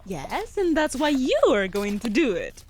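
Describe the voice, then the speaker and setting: Woman doing a bossy sarcastic wolf familiar for fantasy roleplay, kinda high and very animated, formal wording. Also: measured pace, slight background noise. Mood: haughty, bossy. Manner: haughty, sardonic, formal fantasy diction. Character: sharp-tongued, bossy, huffy female wolf familiar. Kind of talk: fantasy audio roleplay acting.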